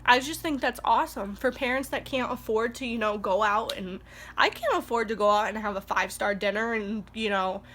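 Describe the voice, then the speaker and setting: Young woman, stuffy nose, fairly high voice, chatty home vlog, rambling. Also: brisk, fairly bright tone. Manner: rambling and heartfelt, growing indignant. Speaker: stay-at-home mom vlogger. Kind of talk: casual vlog talk to camera. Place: indoors at home.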